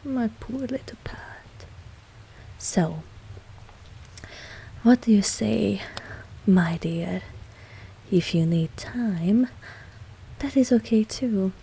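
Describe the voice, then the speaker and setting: A woman playing a seductive, possessive vampire mistress in an intimate ASMR audio roleplay, breathy and often whispering, teasing and commanding. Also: mid-range voice, mellow, heavily acted, poor audio. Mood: soft, persuasive. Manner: slow, teasing, dominant, with pet names.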